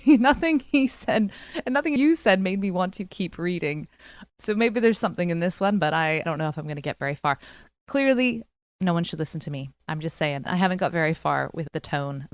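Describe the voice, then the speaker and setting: A woman's voice: lowish voice, moderate pace, no room sound. A woman co-hosting a podcast, chatting casually and frankly about books with strong opinions. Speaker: book club podcast co-host. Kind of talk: casual book chat.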